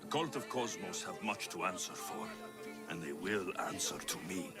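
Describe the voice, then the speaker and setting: Casting: a determined sword-for-hire out for revenge, game drama, fairly deep, blunt delivery over loud music. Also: male voice, animated, normal speed. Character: determined male mercenary seeking vengeance, blunt and steady. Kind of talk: scripted video game dialogue.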